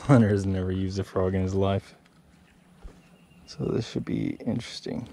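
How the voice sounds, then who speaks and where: A young man filming himself fishing at a pond, chatting casually and teasing his brother with excited, laughing commentary. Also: sits low, normal speed, a little hiss or hum. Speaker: angler filming a fishing challenge. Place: outdoors by a rural pond. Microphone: head-mounted action camera.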